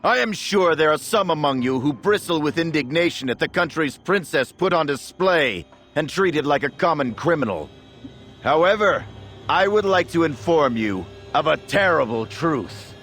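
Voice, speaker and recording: A man playing a grandiose, scheming nobleman in a fantasy game, giving a measured, theatrical speech. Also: on the high side, animated, a bit bright, soft background music. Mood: solemn, grandiose. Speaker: villain orator in a fantasy game.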